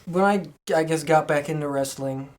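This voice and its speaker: Mid-pitched voice, informal podcast talk, enthusiastic fan tone. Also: moderate pace. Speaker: podcast co-host. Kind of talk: casual wrestling fan chat.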